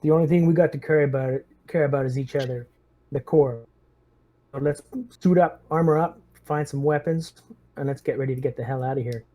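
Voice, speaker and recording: Man acting as loyal Marine lieutenant, mellow timbre, blunt, sweary, rallying, tabletop game. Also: mid-range voice, fairly even intonation, close to an everyday voice. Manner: blunt, foul-mouthed, rallying, deliberate. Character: gruff, rousing Marine lieutenant, loyal to his brothers in arms.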